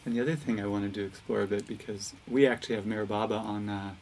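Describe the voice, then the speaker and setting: A man's voice: lowish voice, steady tone, fast talker, a little mellow. A man in his forties interviewing conversationally, with reflective, hesitant phrasing. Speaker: interviewer, community host. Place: indoor set with curtain backdrop, seated. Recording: distant mic, background noise.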